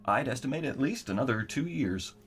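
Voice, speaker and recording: Flustered scientist, stammering, pedantic, halting, animated mid-range voice, audio drama. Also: male voice, mid-range voice, breathy edge, soft background music. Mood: nervous, evasive. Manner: stammering, halting, formal wording. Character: nervous, flustered male scientist, pedantic and evasive.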